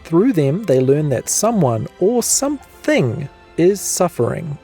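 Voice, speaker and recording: A man's voice: fairly high pitch, sing-song, takes it slowly, warm tone, soft background music. A man with a New Zealand accent reading a scripted, dramatic recap of a fantasy story. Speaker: recap narrator.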